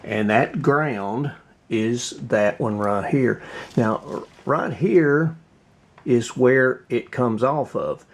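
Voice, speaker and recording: A man giving a casual hands-on workbench walkthrough, halting and thinking aloud as he explains. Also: mid-range voice. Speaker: hobbyist radio restorer presenting his repair.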